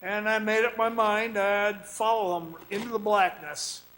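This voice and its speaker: An actor playing an anxious, halting miner trapped underground in a suspense radio drama. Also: male voice, high-pitched, fairly even intonation, heavy background noise. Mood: haunted, brooding. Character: frightened trapped miner, jittery, recounting his ordeal. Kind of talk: first-person suspense radio drama acting. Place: performing arts center stage.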